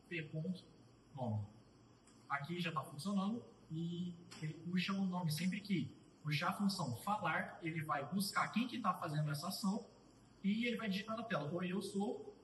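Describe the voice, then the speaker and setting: A man's voice: a little high, fairly bright tone, distant mic, slight background noise. Young man live coding in an echoey room. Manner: casual, thinking aloud while typing, with pauses and restarts. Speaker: student presenter. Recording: roomy echo, voice off-mic.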